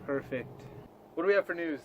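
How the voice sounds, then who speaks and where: A man's voice: fairly high pitch, fairly quick, highs cut off. A man co-hosting a comedic news show in loose, profane banter with a mock-serious manner.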